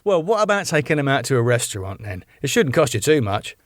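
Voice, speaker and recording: An eager young British band manager, as a man reads him, persuasive and earnest. His pitch bounces about lively, and the recording is dry and close. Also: quick pace, no room sound, natural voice.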